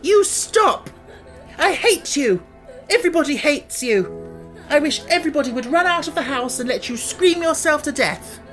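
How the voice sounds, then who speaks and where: Furious high-pitched savage little girl. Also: expressive, moderate pace, soft background music. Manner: shouting, stamping, defiant.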